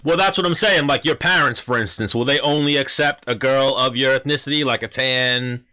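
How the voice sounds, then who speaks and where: Bright-voiced chatty podcast host. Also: male voice, mid-range voice, fairly quick. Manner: chatty, rambling questions, filler-heavy. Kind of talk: casual chat.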